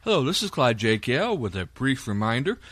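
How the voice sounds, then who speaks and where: A man's voice: mid-range voice, big pitch swings, brisk, fairly bright tone, husky, no echo, studio quality. A man presenting a podcast with scripted announcements.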